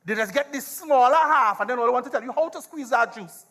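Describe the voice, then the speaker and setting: A man's voice: very high voice, fast talker, bright, forceful tone, exaggerated voice. A man acting an argumentative, persuasive Tobagonian in a live stage drama, with a Trinidad and Tobago accent. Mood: defiant. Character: argumentative Tobagonian man defending self-governance.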